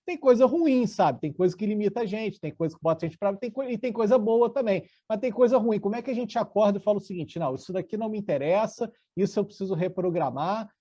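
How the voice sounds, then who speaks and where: A man co-hosting who asks the guest questions in a playful, lively way. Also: high voice, fast talker, few pauses. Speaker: co-host. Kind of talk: casual interview question.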